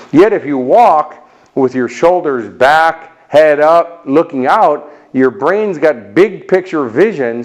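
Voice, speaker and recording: A middle-aged man from Chicago with an American accent, giving a lively motivational talk and acting out short voices in his stories. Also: mid-range voice. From Chicago, United States. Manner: animated storyteller, acts out little voices and jokes. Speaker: motivational speaker.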